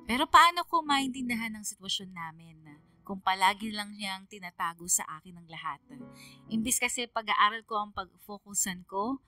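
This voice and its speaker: A woman's voice: medium pitch, bright, forceful tone, no room sound. A woman playing a troubled young letter sender narrating her own story in a Filipino radio drama. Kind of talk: first-person confessional radio drama narration.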